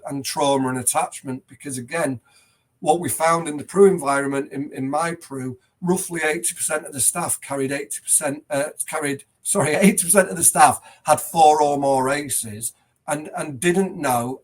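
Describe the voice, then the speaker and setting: Husky-voiced man, earnest and emphatic. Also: on the high side, normal speed. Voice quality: loud voice. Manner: earnest, emphatic, frequent restarts. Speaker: headteacher, podcast guest. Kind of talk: conversational interview.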